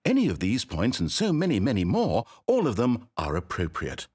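An actor playing a smug, mocking game narrator who teases the player in dry, sarcastic tones. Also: male voice, lowish voice, huge pitch swings, moderate pace, a little mellow, breathy edge, full character voice. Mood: sardonic. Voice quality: dry, teasing delivery with sly sarcasm.

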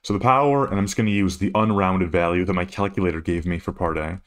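A man's voice: low voice, steady tone, fast talker. A man working as a physics tutor, explaining a worked problem methodically, step by step. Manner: methodical, instructional.

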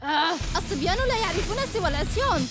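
A bossy, clever young girl in a cartoon dub. Her voice is extremely high and very animated, rattling along quickly. She sounds like an eager schemer. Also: loud music behind the voice. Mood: annoyed.

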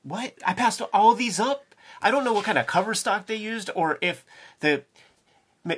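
A man's voice: sits high, lively intonation, moderate pace, a bit bright, slightly rough. Enthusiastic slangy man chatting comics. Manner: enthusiastic, slangy, says "man" and "dude" a lot. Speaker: co-host. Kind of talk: casual chat.

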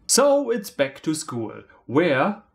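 Animated man with a German accent. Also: fairly high pitch, takes it slowly, vocal fry, slight background noise. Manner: sarcastic, theatrical. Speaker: film reviewer. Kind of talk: scripted comedic review narration. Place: small room indoors, on a couch.

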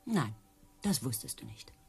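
Radio play circus rider: deep for a woman, raspy, mocking and world-weary. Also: sing-song, unhurried, a little mellow, faint music behind, heavily acted. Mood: scornful, needling. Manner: sarcastic, needling.

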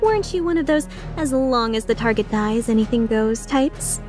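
A woman's voice: a little high, lively intonation, moderate pace, music in the background, natural voice. A woman voicing a cocky female mercenary leader in a video game fan dub, playful, sarcastic and taunting with an edge of menace. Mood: teasing menace.

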